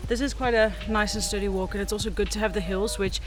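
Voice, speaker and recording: A woman's voice: mid-range voice, steady tone, fast talker, low-quality recording. A woman from the Netherlands chatting and narrating casually as a hiking vlogger, with an enthusiastic, informal way of talking. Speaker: travel vlogger and hiker. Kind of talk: casual vlog chat and narration. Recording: music behind the voice. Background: music.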